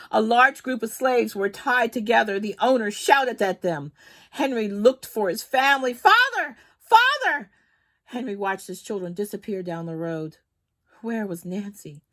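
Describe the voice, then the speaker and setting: A woman storytime host reading a children's book aloud in an expressive, dramatic way that leans on key words. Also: medium pitch.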